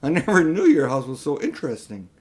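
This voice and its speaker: A man's voice: a little high, wildly swooping pitch, a little fast, a little mellow, husky, voice pushed up, exaggerated voice. A man reading aloud and voicing a curious, outspoken young girl in a children's story. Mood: excited.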